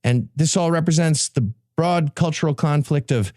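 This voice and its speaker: A man's voice: fairly high pitch, normal speed, darkish tone, no room sound, clean studio sound. A sardonic, emphatic man presenting a comic podcast summary, swearing freely.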